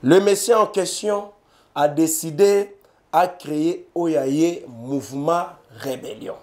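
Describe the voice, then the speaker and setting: Emphatic Congolese man, raised-pitch commentary. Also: takes it slowly, a little mellow. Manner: emphatic, repetitive, addresses viewers directly. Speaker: political commentator. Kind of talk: animated political commentary in French and Lingala.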